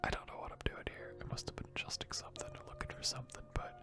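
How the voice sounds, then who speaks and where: A person giving rambling, hesitant gaming commentary in a breathy, unvoiced ASMR whisper close to the microphone. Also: rapid-fire. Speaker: gaming video host.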